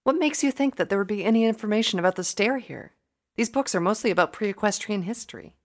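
A woman's voice: medium pitch, animated, fast talker, voice pushed up, lightly acted. A woman voicing an inquisitive, considerate young female pony scholar with an earnest, questioning manner in a storybook reading.

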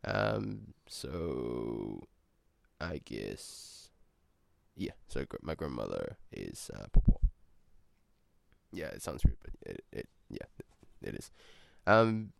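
A man's voice: rumbling low, very animated, takes it slowly, vocal fry, no room sound. A young man from New Zealand with a New Zealand accent, chatting casually and rambling through a vlog with self-corrections.

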